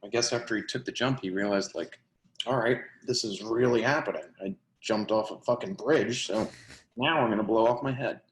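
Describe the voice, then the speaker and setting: Man playing a low-voiced grungy twenty-something, echoey room. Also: normal speed, a little hiss or hum, lightly acted. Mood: exasperated. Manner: slangy, profane, conversational. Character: twenty-four-year-old grungy, tough drinker, casual and defensive. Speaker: actor. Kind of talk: remote play reading, naturalistic casual dialogue. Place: indoor room, remote video call. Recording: roomy, echoey video call.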